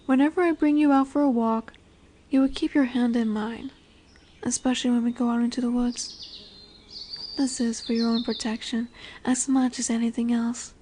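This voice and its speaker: A woman voicing a dominant but tender lamia in a fantasy roleplay audio drama, speaking softly and soothingly, often dropping into whispers. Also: fairly high pitch, steady tone, normal speed, a little hiss or hum, natural voice. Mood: gently commanding. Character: dominant, tender female lamia, snake-bodied forest monster.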